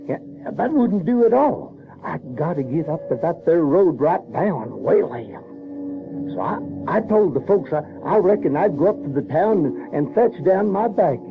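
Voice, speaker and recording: An actor playing a folksy, earnest Southern American runaway boy who tells his adventures in halting, colloquial speech. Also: a little high, very animated. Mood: folksy, musing. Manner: halting, colloquial, repeats words. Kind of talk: first-person storytelling in radio drama. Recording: dull 1970s recording with music.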